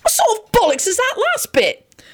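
Lady playing cocky young guy in a story, scornful, full of himself, voice jumps up high and swings around. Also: huge pitch swings, slow pace, full character voice. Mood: outraged. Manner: hollering, scornful, lofty. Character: brash, self-important, foul-mouthed young man.

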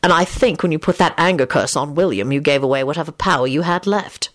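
Woman doing a low, dry, irritable wizard. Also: expressive, fast talker, no echo. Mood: dry, irritated. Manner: dry, patient, sardonic.

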